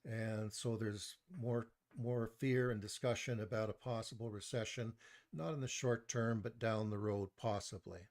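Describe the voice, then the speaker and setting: A soft-spoken man giving a live online stock market review into a desktop mic, measured, with frequent pauses and restarts. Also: fairly low pitch, fairly even intonation. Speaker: presenter of a stock market webinar. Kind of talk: live online presentation, reading chat comments.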